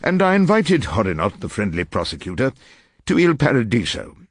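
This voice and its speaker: Wry English barrister with animated delivery. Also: male voice, medium pitch, fairly quick, slightly rough. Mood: wry narration. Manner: wry, dry, theatrical. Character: wry, irascible veteran English barrister narrating his courtroom case. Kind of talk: radio drama narration and courtroom questioning.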